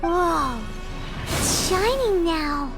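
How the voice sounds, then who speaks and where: A woman voicing a small, curious fairy companion in a video game, with a childlike, squeaky and expressive delivery. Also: drawn-out words, over music, full character voice. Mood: awed. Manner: wondering, expressive. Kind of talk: video game character acting.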